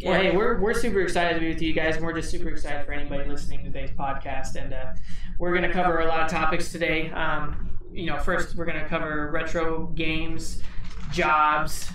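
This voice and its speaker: A man's voice: a little high, fairly even intonation, a little fast. Male host, 20s, upbeat, chatty, filler-heavy; distant mic, echoey room. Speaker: podcast host. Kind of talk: casual podcast chat. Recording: roomy echo, off-mic, faint hiss.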